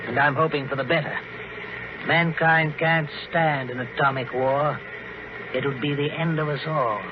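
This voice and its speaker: Actor as a scholarly professor, higher-pitched, old radio. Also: male voice, fairly even intonation, lightly acted. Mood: grave. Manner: measured, scholarly, precise. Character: learned, thoughtful male scientist professor on space expedition. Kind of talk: 1940s radio drama acting. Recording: muffled, noisy old 1940s radio recording.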